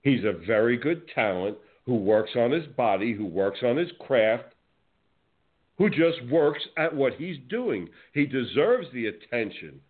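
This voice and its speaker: A man's voice: medium pitch, unhurried. A man from New Jersey with a New Jersey accent, hosting a wrestling podcast in a brash, outspoken way. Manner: brash, outspoken, animated storytelling. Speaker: podcast host. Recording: phone-like narrow audio.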